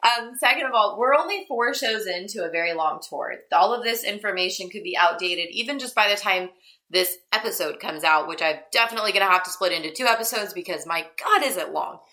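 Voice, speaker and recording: Bright-voiced woman hosting a podcast. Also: mid-range voice, lively intonation, fairly quick. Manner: organizes the talk, steers to the next topic. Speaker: podcast host. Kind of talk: casual chat.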